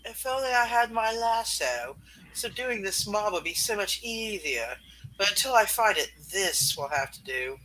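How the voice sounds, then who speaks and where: A man's voice: sits high, animated, moderate pace, bright, forceful tone, low-quality recording. A man playing an earnest, commanding superhero in a comic book dramatic reading. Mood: pondering.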